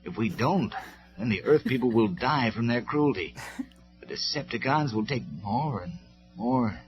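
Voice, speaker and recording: A man's voice: medium pitch, lively intonation, a little slow, pitched above the performer's own voice, close to an everyday voice. A man voicing a grim male robot soldier in a raised, earnest voice, on a dull lo-fi recording with faint music.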